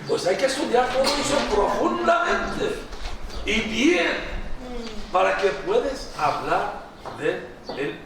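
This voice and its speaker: A middle-aged Iraqi-accented man gives an emphatic church talk in a fairly high voice, repeating himself and restarting words. He sounds far from the microphone, and his voice rings through a very echoey hall. Steady background noise runs underneath. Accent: Iraqi Arabic. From Iraq. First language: Aramaic. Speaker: guest lecturer. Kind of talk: church lecture.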